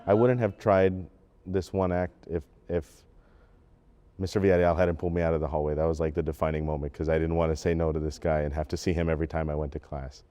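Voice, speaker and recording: Deep, smooth, mellow young man. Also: flat delivery, fast. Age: teens or young adult. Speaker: high school drama cast member. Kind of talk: casual reflective interview.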